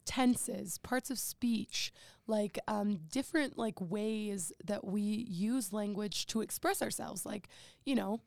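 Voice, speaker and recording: A woman's voice: mid-range voice, slow, gravelly, a little mellow, no echo. A young woman in college chatting casually on a podcast, joking at her own expense and using lots of fillers. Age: young adult. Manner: chatty, self-deprecating, many fillers. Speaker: podcast co-host. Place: home room.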